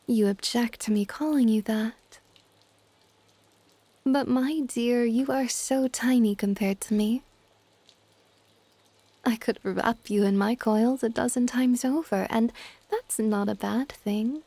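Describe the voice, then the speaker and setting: A woman playing a possessive, affectionate dragon goddess in an ASMR audio roleplay, with a soft, whispery, teasing and intimate delivery. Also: no room sound. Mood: affectionate, doting. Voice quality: soft, breathy whispering mixed with low-volume speech. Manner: doting, teasing, unhurried.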